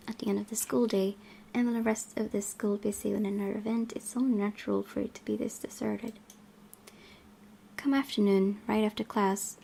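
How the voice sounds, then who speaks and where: A woman's voice: mid-range voice, gravelly, warm tone, slight background noise. A woman hosting a gaming channel, reading a visual novel's narration aloud with occasional asides.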